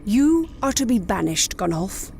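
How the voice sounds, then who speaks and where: A woman's voice: mid-range voice, very animated, a little slow, vocal fry, a little mellow, slight background noise. A woman playing an imperious, ruthless wolf mother, formal, commanding and menacing, in a sci-fi audio drama. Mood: cold menace.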